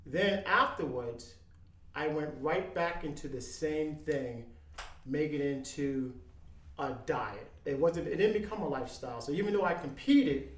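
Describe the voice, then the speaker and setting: A man in his fifties speaking in casual chat as a podcast guest, earnest and halting, with many ums and restarts. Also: on the high side, echoey room. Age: 50s.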